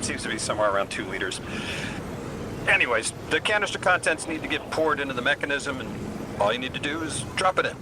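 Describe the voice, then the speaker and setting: A male actor playing an earnest, bookish amnesiac translator in a video game, halting and apologetic. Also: on the high side, big pitch swings, heavy background noise. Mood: apologetic, explaining. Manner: halting, apologetic, repeats words when flustered. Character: earnest, flustered, bookish amnesiac man from Earth acting as translator. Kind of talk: naturalistic video game voice acting. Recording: music behind the voice.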